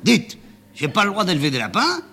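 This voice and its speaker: Brief: irritable chauffeur, gruff and sarcastic, low voice with big swings, as a man reads him, faint hum. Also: big pitch swings, unhurried, a bit bright. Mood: grumbling. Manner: grumbling, sarcastic, colloquial. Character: grumpy, hot-tempered male taxi driver.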